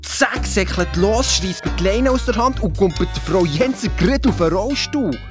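A woman's voice: mid-range voice, lively intonation, normal speed, music in the background, lightly acted. A woman playing a blunt, grumbling young boy with a Swiss accent, a detective sidekick telling his side in a children's radio play. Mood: aggrieved.

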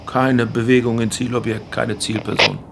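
An actor playing a grumbling, put-upon surveillance officer who talks colloquially in a radio crime drama. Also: male voice, mid-range voice, little pitch movement, moderate pace, gravelly, noisy background, close to an everyday voice. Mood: bored. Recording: music behind the voice.

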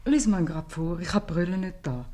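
A woman's voice: fairly low pitch, lively intonation, moderate pace, mellow, slight background noise, natural voice. A woman playing a middle-aged, worried, plain-spoken innkeeper's wife in a 1950s Swiss German radio comedy. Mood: tart. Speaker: actress in a radio play.

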